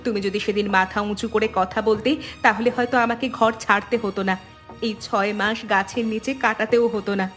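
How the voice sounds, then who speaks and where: A woman plays a wronged young wife in a cartoon family drama. Her delivery is earnest and assertive, mid-pitched, with light music behind it. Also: fairly even intonation, a little fast, a bit bright, close to an everyday voice. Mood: hurt, reproachful. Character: wronged young daughter-in-law, firm, hurt, self-respecting.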